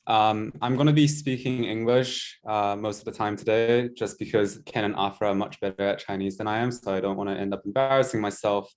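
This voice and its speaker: A young man with a New Zealand accent presents over a video call in a relaxed, chatty way, teasing himself and filling pauses with ums. His voice sits fairly low. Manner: chatty, self-mocking, many ums. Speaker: guest presenter, tutor. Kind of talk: casual online presentation.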